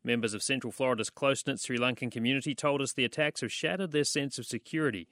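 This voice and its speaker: A man hosting a news interview, asking questions in a measured, calm way. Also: medium pitch, no room sound, studio quality. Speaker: host.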